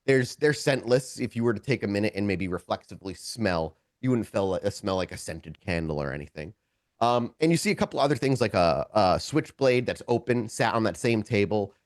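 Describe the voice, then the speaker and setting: A man with a low-pitched, animated voice acts as game master for a tabletop RPG. He improvises vivid scene narration for his players, often stopping to rephrase. The sound is close and dry. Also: no room sound. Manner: halting, self-correcting, searching for words.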